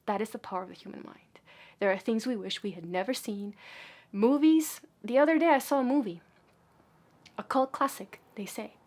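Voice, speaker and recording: A woman vlogging in a casual, opinionated monologue, with sudden loud emphatic bursts and quiet asides. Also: a little high, normal speed.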